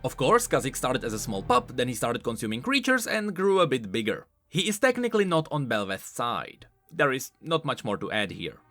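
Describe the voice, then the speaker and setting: A man's voice: medium pitch, huge pitch swings, a little fast, few pauses, pushed and bright, faint music behind. A man hosting a scripted explainer on video game lore, talking informally with emphatic, swooping stresses.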